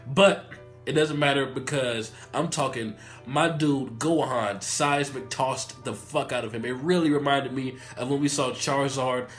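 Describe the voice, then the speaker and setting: A man who has a cold and sounds nasal, giving a hyped, profane, rambling review of an anime episode. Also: medium pitch. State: sick, nasal. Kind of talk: excited casual review vlog.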